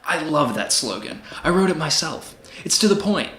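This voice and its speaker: An actor playing a smug, flirtatious, evasive presidential candidate in a comedic audio drama interview, speaking in glib repeated slogans. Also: male voice, on the high side, big pitch swings, normal speed, fairly bright tone. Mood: self-assured. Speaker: politician being interviewed. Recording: roomy echo, faint background noise.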